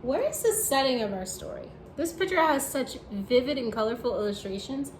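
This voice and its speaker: Animated high-voiced woman reading to children. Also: a little fast. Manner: expressive, encouraging, instructive. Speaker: teacher reading a picture book aloud to children. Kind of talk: animated read-aloud with questions to young listeners. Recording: roomy echo with faint background noise.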